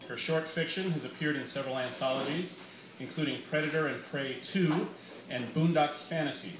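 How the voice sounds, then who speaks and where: A man's voice: on the high side, normal speed. A middle-aged man hosting a live event, giving a scripted introduction. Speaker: host. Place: small bar, indoors. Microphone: handheld microphone, filmed from the audience. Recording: echoey, noisy bar on handheld microphone.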